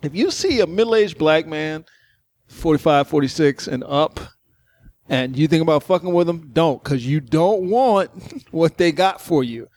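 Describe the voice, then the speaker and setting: A man with a high, bouncy voice that swings all over the place as he talks. He tells his story in loose, profane banter, breaking into laughs often. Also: measured pace. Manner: animated, laughs often, swears freely.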